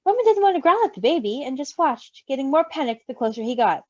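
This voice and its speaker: Animated, high-voiced woman reading aloud. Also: quick pace, no room sound. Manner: animated, giggly. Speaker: story reader. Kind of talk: expressive storytime read-aloud. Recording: slightly dull, close dry mic.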